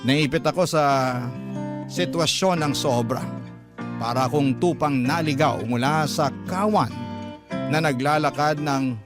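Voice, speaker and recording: A man narrates a personal story in the style of a radio drama. His voice sits a little high for a male, and he phrases things in measured, halting pieces. Music plays prominently behind him. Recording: music bed under the voice. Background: prominent background music.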